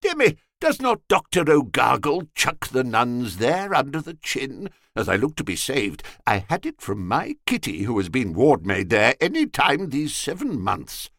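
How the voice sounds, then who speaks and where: A man voices a young student from a comic literary tale. He gossips slyly and suggestively. The pitch is very animated, the sound dry. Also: unhurried, few pauses, no echo, heavily acted. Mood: sly, gossipy.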